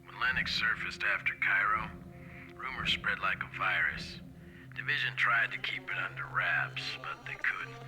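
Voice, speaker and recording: A blunt, intense male soldier in a game cutscene. His voice is fairly low yet very sharp and forceful, with a seasoned, hard manner. Faint music sits behind him. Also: moderate pace, low-quality recording. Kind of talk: video game cutscene acting.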